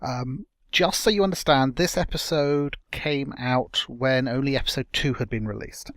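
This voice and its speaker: A young man hosting a casual gameplay video, chatting and rambling through his progress with a slightly croaky voice. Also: mid-range voice, moderate pace, fairly bright tone, no echo, clean studio sound. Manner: rambling, self-correcting, chatty. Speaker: let's play host.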